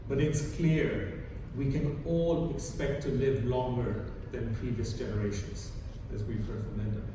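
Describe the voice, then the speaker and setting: A middle-aged man from Kenya giving a prepared talk to an audience, halting with frequent restarts and fillers. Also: mid-range voice, steady tone. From born in India, grew up in Kenya. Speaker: conference speaker. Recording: echoey hall from far off with background noise.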